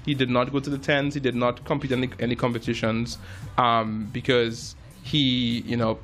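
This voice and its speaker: Relaxed Trinidadian-born musician, storytelling. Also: male voice, medium pitch, moderate pace, soft background music. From Trinidad and Tobago. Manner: relaxed storytelling with frequent fillers and restarts. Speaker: jazz musician and filmmaker, guest. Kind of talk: casual interview.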